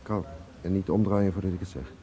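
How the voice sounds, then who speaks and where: Actor as a deep-voiced, wry schemer. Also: male voice, fairly even intonation, fairly quick, dark tone, lightly acted. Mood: wary, sardonic. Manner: wry, suspicious, conspiratorial. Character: wary, sardonic Russian schemer with gallant manners. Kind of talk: radio drama acting. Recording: radio play with busy crowd ambience.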